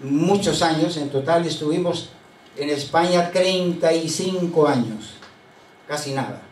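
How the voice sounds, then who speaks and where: Older pastor with a high voice, storytelling from the pulpit with faint noise behind him. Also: male voice, darkish tone. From Chile. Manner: unhurried anecdotal storytelling with frequent hesitations. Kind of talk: sermon. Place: church sanctuary. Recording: roomy church sound from a distant mic.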